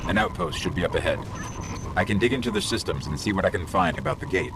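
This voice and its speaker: Game robot guide: low register, gravelly edge, wry, clever, conversational delivery. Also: male voice, lively intonation, quick pace, heavy background noise. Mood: focused, urgent. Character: small floating robot companion, male, clever, wry, guiding. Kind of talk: scripted video game dialogue. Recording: loud music behind the voice. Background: loud music.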